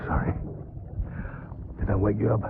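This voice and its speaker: A man playing a tender family man in a game cutscene, talking gently and intimately. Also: fairly low pitch, expressive, moderate pace, natural voice. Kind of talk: video game cutscene acting. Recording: muffled, very noisy background.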